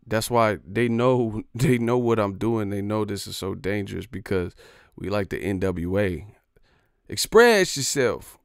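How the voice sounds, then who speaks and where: Gravelly boastful man ranting on stream. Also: lowish voice, animated, measured pace, no echo, clean studio sound. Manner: boastful, profane, streetwise slang. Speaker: boxing YouTuber. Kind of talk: livestream rant.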